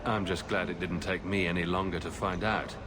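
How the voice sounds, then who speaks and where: A male actor as a confident fantasy game hero with a fairly low voice. He is dry and lightly teasing, a flirtatious adventurer heard over clear background noise. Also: fairly even intonation, brisk, natural voice. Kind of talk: video game dialogue.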